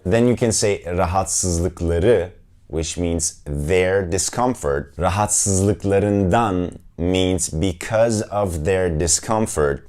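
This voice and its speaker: Deep-voiced man teaching a language. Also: a little mellow. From Germany. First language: Turkish. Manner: friendly, explanatory, spells out word examples slowly. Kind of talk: casual video lesson.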